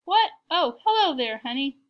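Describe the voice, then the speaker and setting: A woman's voice: high-pitched, sing-song, moderate pace, muffled recording, pitched above the performer's own voice. A woman voicing a blunt, folksy old granny mouse in a storybook, hearty and feisty. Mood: hearty surprise.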